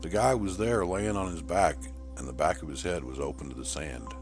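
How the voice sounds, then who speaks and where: Low-voiced man narrating a story over music. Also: fairly quick. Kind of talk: scripted audiobook narration. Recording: music bed under voice. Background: background music.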